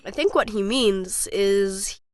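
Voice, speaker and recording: A woman's voice: medium pitch, slow pace, darkish tone, lightly acted. A woman voicing a small, earnest, curious child in an audio fantasy story. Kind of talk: audio storybook character acting. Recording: music behind.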